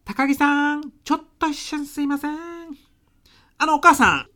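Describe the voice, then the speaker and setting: A woman's voice: sits high, normal speed, bright, forceful tone. A woman playing a polite, deferential young salaryman son-in-law in a comic radio drama, with a hesitant businesslike manner. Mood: flustered, polite.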